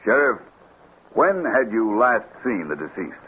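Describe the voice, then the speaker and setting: Courtroom prosecutor: low, formal, precise and probing, muffled vintage radio sound. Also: male voice, sing-song, measured pace, husky, heavily acted. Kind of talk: 1950s radio drama acting.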